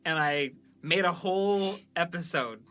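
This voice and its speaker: A man's voice: high voice, measured pace, a bit bright. A man hosting a podcast in casual banter, chatting and reading out listener reviews. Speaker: podcast host and creator of an amateur audio drama.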